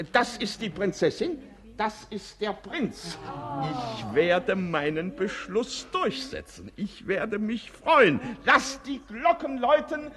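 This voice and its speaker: An actor playing a pompous, befuddled old king in a radio play, halting, hemming and repeating himself. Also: sits high, a bit bright, heavily acted. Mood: excited, commanding. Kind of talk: 1950s German radio play acting.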